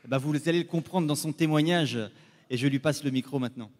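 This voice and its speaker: Male visiting preacher, fairly high voice, hesitant church address, long hall reverb. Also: fast talker, a little mellow.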